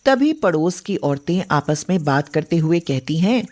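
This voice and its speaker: A woman's voice: sits low, very animated, unhurried, darkish tone. A woman narrating a scripted story as the storyteller.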